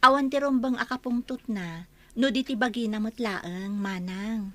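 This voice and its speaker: A woman's voice: on the high side, moderate pace, no echo, natural voice. A woman playing a polite, puzzled younger village neighbour in an Ilocano comedy radio drama, deferential and questioning.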